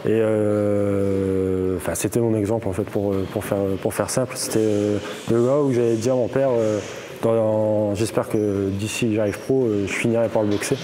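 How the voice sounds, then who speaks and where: Young male boxer, low voice, noisy room. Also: fairly even intonation, moderate pace. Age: 20s. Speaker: competitive boxer. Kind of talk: interview answers.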